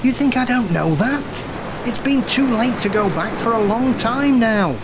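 Remorseful brother in loud storm. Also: male voice, very high voice, lively intonation, measured pace, heavily acted. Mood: frustrated. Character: remorseful, soft-hearted brother. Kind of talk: audio drama acting. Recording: loud rain and storm noise behind. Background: rain and thunder.

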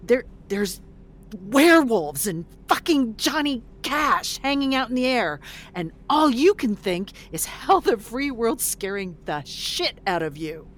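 A woman's voice: a little high, sing-song, unhurried, a little hiss or hum. An actress playing a blunt, weary middle-aged woman fresh out of prison in an audio drama, swearing in exasperation. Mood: fierce, weary.

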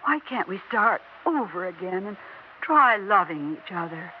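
Older widow, anxious and accusing, swinging pitch, vintage radio play. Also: female voice, sing-song, a little hiss or hum. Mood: pleading. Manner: slow, pleading, bitter. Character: anxious elderly widow Agatha, bitter yet pleading. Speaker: wife and mother. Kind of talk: 1960s radio drama acting. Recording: dull vintage radio recording.

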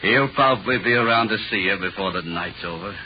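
A man's voice: fairly low pitch, brisk, natural voice. A man acting a sadistic, taunting American police jailer with slangy, mocking speech in a 1940s radio drama. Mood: gloating, menacing. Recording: dull, noisy 1940s radio recording.

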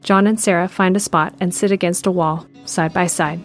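Woman narrator for an audio drama, on the low side, soft music bed under her. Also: fairly even intonation, moderate pace. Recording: music behind the voice.

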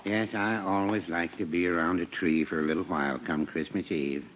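An American man acting a mild, henpecked older druggist in a 1940s radio comedy, deadpan, hesitant and understated. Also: deep voice, a little fast, lightly acted. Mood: deadpan, obliging. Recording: muffled, noisy 1940s radio recording.

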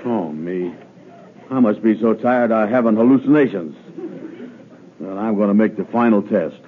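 An actor playing a slow, gullible, weary man in an old radio comedy, with a drawling, ungrammatical manner. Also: fairly low pitch, natural voice. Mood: weary, puzzled. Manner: drawling, ungrammatical, muttering to himself. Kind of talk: 1950s radio comedy acting. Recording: narrow phone-like old radio recording, loud noise.

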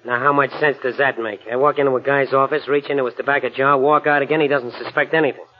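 A man plays a double-crossing American hoodlum in a vintage radio thriller. He talks smug, tough slang in a medium-pitched voice. The recording is old and muffled. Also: steady tone, fast talker, faint music behind, lightly acted. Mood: threatening. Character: cocky, double-crossing male gangster, tough and sly. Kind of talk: 1940s radio crime drama acting.

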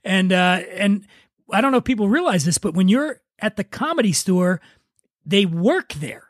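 A man's voice: high voice, animated, moderate pace, dry recording, studio quality. A man hosting a podcast in casual comic chat, with a joking, self-deprecating manner. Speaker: podcast host.

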